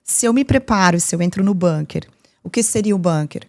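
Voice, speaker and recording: A woman's voice: fairly low pitch, fairly quick. Woman doctor hosting an interview. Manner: warm, explanatory, uses vivid comparisons. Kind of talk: interview host, advising.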